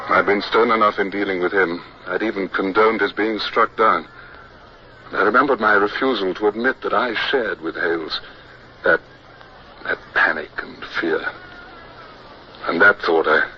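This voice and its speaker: An actor playing a young British naval officer who recounts his fears in grave, measured, earnest narration for a radio drama. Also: low-pitched, close to an everyday voice. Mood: anguished resolve. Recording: muffled, noisy old radio recording.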